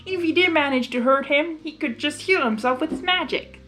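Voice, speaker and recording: A woman's voice: sits high, fairly quick, fairly bright tone, soft background music, pitched above the performer's own voice. A woman voicing a feisty, protective female farm pony, blunt and challenging. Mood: skeptical.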